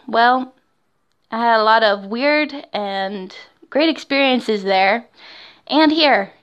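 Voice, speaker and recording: A woman in her twenties, originally from Florida, hosting a casual personal podcast alone. She has a girlish voice and talks in a nervous, halting way, filling pauses with ums and small laughs. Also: vocal fry. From West Florida, United States. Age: 20s. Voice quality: high, girlish voice. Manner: nervous and halting, with many fillers and soft laughs. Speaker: podcast host.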